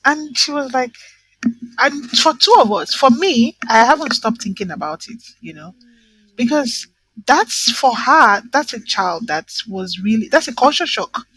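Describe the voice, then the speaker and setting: A woman's voice: on the high side, lively intonation, a little slow, a bit bright, breathy edge, dry recording, poor audio. A woman from Nigeria in casual conversation, talking emphatically and often checking in with "right?" and "you know". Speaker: guest.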